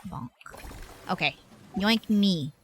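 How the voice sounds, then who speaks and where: A woman's voice: mid-range voice, takes it slowly, soft background music. A woman livestreaming a game with casual, playful, slangy commentary, chatting to herself and to her viewers.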